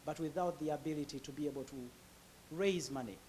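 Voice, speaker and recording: A man's voice: a little high, fairly quick, a little mellow, a little hiss or hum. A man with a Ugandan accent telling his personal story in a hesitant way, full of filler sounds and restarts. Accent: Ugandan English. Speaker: guest speaker.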